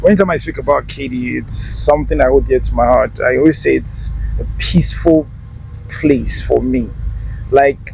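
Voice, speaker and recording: A young Nigerian man with a Nigerian accent, chatting as a guest in a relaxed interview and laughing often. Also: mid-range voice, moderate pace, gravelly, slight background noise, poor audio. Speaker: guest actor. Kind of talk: casual interview chat.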